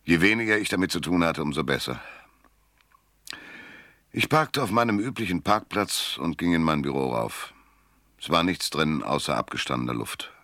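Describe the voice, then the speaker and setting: A man's voice: a bass voice, animated, moderate pace, pauses often, pushed and bright, dry recording, full character voice. A man playing a weary, cynical private detective in a radio drama, narrating and talking in a laconic, dry, world-weary way. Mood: weary, disgusted. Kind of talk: first-person noir narration and dialogue in radio drama. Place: dry studio.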